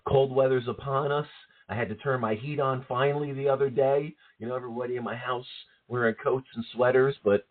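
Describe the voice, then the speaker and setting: A man's voice: mid-range voice, brisk. Man on a tinny phone line. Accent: US East Coast. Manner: calm, reflective, repeats words when thinking. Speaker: co-host, psychic medium. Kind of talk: casual spiritual radio talk. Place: at home.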